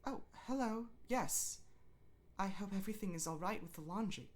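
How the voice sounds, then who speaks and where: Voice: medium pitch, animated, normal speed, pitched above the performer's own voice, lightly acted. A performer voicing a polite, formal and reserved woman, speaking carefully, in a dramatic fan-fiction readthrough.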